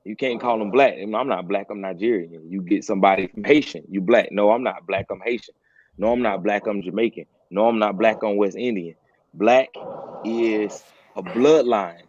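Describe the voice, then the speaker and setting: A man's voice: on the low side, moderate pace, a little mellow, dry recording. A man co-hosting a casual talk show, earnest, repeating phrases to drive a point home. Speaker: podcast co-host. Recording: dull lo-fi sound with faint hiss.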